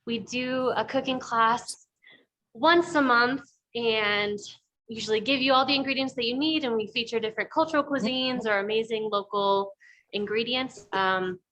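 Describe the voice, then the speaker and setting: A woman hosts an online cooking class and walks through how it will run. She speaks in a fairly high voice, friendly and loose, full of small restarts. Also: moderate pace, a bit bright, low-quality recording. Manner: friendly, many ums and restarts. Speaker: class host for a food co-op.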